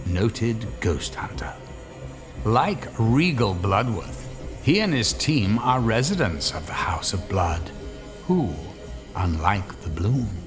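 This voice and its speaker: A man narrating a scripted horror radio drama with suspenseful, measured phrasing. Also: lowish voice.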